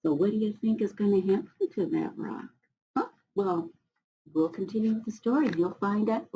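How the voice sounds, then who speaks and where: A woman's voice: medium pitch, big pitch swings, moderate pace, vocal fry, dark tone, slight background noise, low-quality recording. An American woman reading a picture book aloud to young students, chatting to them, explaining words and asking questions as she goes. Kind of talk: children's read-aloud with chatty asides.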